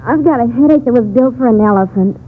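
An actress playing a whiny young Navy office worker with a cold in a 1940s radio commercial. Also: female voice, on the high side, fast talker, close to an everyday voice. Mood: miserable pleading. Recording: tinny, faintly noisy old radio broadcast.

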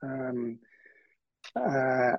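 A man's voice: medium pitch, big pitch swings, very slow, creaky, no echo. A man from Ireland in his fifties, an author being interviewed, speaking hesitantly with many fillers and restarts. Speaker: author guest. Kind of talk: podcast interview. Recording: dull, dry remote audio.

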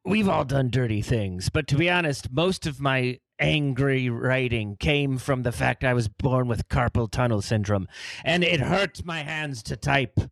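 A man puts on a lowlife poet, gravelly and full of vocal fry. He talks bluntly but haltingly, with sheepish self-deprecation. The recording is dry, in the style of an improvised comedy interview. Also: no room sound. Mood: sheepish, rueful.

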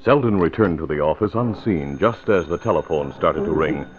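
Deep, animated vintage radio announcer man. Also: normal speed, soft background music. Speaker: radio drama narrator and announcer. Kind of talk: 1940s radio scripted narration and sponsor read. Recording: narrow lo-fi old radio recording.

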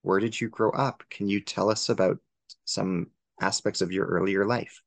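Deep gravelly man hosting a podcast. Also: steady tone, normal speed, no room sound. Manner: formal, courteous, effusive. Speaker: podcast host. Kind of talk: formal interview questions.

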